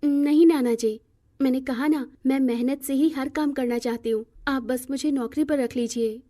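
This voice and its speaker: Woman playing young wife, earnest, self-reliant, respectful, mellow, higher-pitched, cartoon story. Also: fairly even intonation, normal speed, lightly acted. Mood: earnest, determined. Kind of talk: acted cartoon moral-story dialogue.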